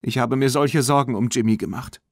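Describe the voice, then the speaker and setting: Man voicing an anxious, high-pitched young girl. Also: a little fast, no echo, studio quality, close to an everyday voice. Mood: distressed. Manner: earnest, emotional. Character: anxious young girl, worried about her beloved foal.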